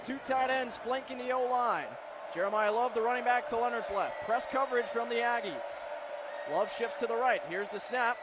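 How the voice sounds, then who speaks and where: High-pitched man calling football play-by-play. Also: normal speed, a loud crowd. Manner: clipped present-tense narration of action. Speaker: radio football play-by-play announcer. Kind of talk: live play-by-play sports commentary. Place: football stadium broadcast position. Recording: faint stadium background noise.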